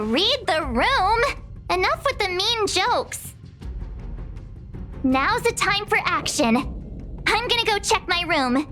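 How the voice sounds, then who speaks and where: A girlish young game heroine, bubbly and impulsive by nature. Her voice sits extremely high and she takes her words slowly, with faint music behind her. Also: pushed and bright, exaggerated voice. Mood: chirpy, decisive. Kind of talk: video game voice acting.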